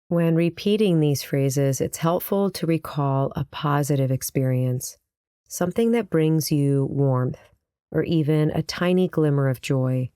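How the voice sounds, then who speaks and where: Slow, low-voiced woman guiding meditation. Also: clear, resonant tone, no room sound, studio quality. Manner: gentle, soothing, unhurried. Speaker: meditation teacher. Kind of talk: guided meditation narration.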